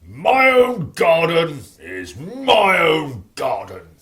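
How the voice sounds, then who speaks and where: Grumpy selfish giant for kids, slow and gravelly, blustering, bit of music under. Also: male voice, fairly high pitch, huge pitch swings, pushed and bright, heavily acted. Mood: indignant, gruff. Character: grumbling, selfish old male giant, loud and bullying. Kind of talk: theatrical children's storytelling.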